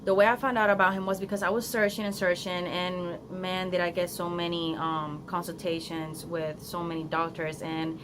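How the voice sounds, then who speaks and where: A woman's voice: on the low side, flat delivery, normal speed, few pauses. A young woman with a Spanish accent, Spanish her first language, chatting earnestly in an unedited phone vlog outdoors, with many ums and restarts. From Florida, United States. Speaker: vlogger. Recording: phone recording outdoors with clear background noise.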